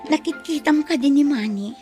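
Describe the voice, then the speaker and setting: A woman's voice: high voice, moderate pace, warm tone, soft background music, natural voice. A woman playing an anxious, pleading unmarried young mother-to-be in an Ilocano drama. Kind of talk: Ilocano radio-style comedy drama acting.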